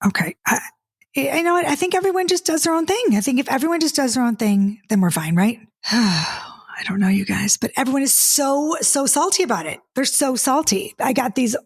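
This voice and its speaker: A woman in her fifties hosts her podcast in a loose, exasperated, chatty way, stumbling over restarts as she reads comments aloud and answers them. Her voice sits a little high and carries a slight husky edge. The recording is dry and close, with no room sound. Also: lively intonation, moderate pace, dry recording. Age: 50s. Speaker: podcast host and dating coach. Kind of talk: casual solo podcast chat.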